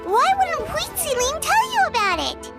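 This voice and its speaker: Tiny, squeaky, inquisitive fairy. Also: female voice, very animated, normal speed, over loud music. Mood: curious, puzzled. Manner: blunt, inquisitive, childlike. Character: tiny chirpy inquisitive childlike fairy companion. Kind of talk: video game character voice acting.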